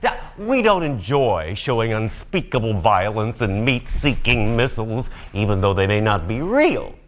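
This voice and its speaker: An actor playing a smug, cynical, wisecracking host of a brutal futuristic game show in a video game. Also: male voice, lowish voice, very animated, moderate pace. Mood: smug, mocking. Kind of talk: over-the-top TV game show presenting.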